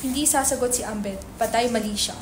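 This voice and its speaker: Woman, fairly high voice, scripted stage-direction narration, dramatic reading, faint background noise. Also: fast.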